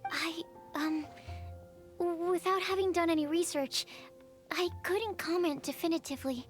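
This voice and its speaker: A timid young alchemist who hedges every word. Her voice is very high, and faint music plays underneath. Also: unhurried. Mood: hesitant. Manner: timid, hesitant, hedging. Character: shy, bookish young female alchemist. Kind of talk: game voice acting.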